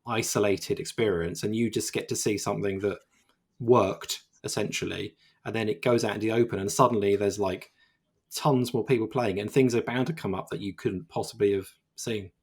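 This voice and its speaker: A man's voice: lowish voice, steady tone, a little fast, breathy edge. A man co-hosting a video game podcast, chatting casually and rambling with many restarts. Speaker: podcast co-host. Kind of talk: casual chat.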